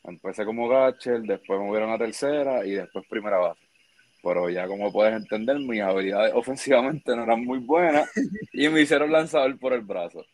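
Puerto Rican man in his 20s, deeper register, easygoing interview guest. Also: fairly quick. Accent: Puerto Rican Spanish. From Puerto Rico. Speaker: baseball pitcher guest. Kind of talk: casual interview chat.

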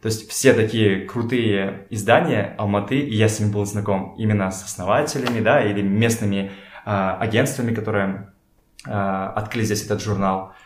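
A young man chatting casually as an interview guest, informal and halting as he searches for words. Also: lowish voice, fairly even intonation. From Kazakhstan. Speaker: podcast guest, photographer and art director. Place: indoors in a home. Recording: echoey room.

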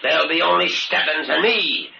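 An actor playing a cold, desperate murderer with a menacing, commanding manner in an old-time radio crime drama. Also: male voice, a little high, moderate pace, gravelly. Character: cold, desperate murderous hotel keeper holding men at gunpoint. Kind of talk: 1940s radio crime acting. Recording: noisy, muffled, echoey old radio recording.

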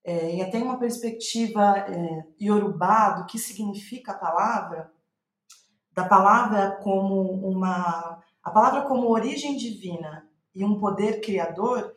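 A woman with a Brazilian Portuguese accent in a reflective interview, thinking aloud haltingly and trailing off. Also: mid-range voice, roomy sound. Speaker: playwright, podcast guest.

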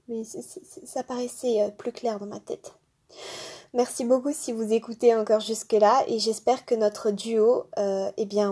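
Woman from France, podcast host, mellow voice, rambling, enthusiastic. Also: on the high side, slightly rough. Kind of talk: casual spoken monologue.

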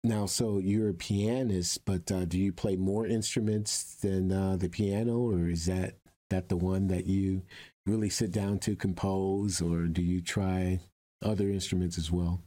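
A man's voice: low-pitched, fairly even intonation, measured pace, warm tone. A man hosting a conversational interview, putting his questions in a hesitant, wordy way. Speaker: interviewer, host.

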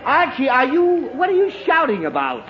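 A man's voice: extremely high pitch, wildly swooping pitch, moderate pace, a faint crowd, heavily acted. An actor playing an exasperated, sarcastic middle-aged American father in a 1940s radio comedy, blustering and repeating himself. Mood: weary, exasperated. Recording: muffled, faintly noisy 1940s radio recording.

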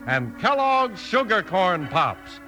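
A male announcer from 1950s radio delivers scripted copy with showman's emphasis. His voice is high and very animated. Music plays behind him. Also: takes it slowly, poor audio.

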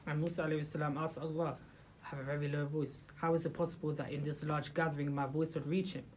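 A speaker leads an informal religious talk for a small group gathered in a room. The voice sits at a middle pitch, with rhetorical questions to the listeners and frequent hesitant restarts. Faint background noise runs under the recording. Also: flat delivery, fast.

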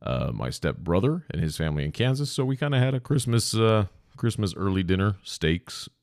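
A congested man with a very low voice hosts his own podcast in a loose, rambling way, dropping curse words as he goes. His nose is stuffed and sniffly. The sound is close and dry. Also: sing-song, brisk, a little mellow, no room sound. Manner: rambling, casual, swears often. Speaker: podcast host. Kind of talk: rambling solo podcast chat.

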